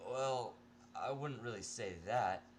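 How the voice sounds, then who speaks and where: A man voicing a calm, clinical asylum doctor questioning an inmate, in an amateur story reading. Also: mid-range voice, slow, slight background noise, lightly acted. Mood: patient.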